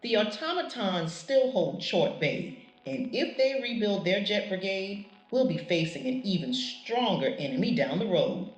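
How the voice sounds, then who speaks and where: A woman playing a measured, grave lieutenant and tactical analyst on a scripted wartime radio show. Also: medium pitch, lively intonation, echoey room, lightly acted, poor audio. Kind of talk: scripted radio drama interview.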